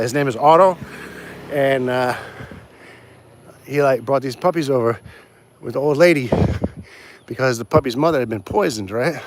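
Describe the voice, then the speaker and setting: A man's voice: medium pitch, no echo. A man livestreaming on a walk outdoors, rambling casually with many restarts and answering viewer comments. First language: English. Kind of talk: casual live stream chat.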